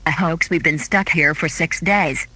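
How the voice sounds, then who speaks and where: Tense, high-voiced man playing a trapped schoolboy. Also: measured pace, sharp tone, heavily acted. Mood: tense, insistent.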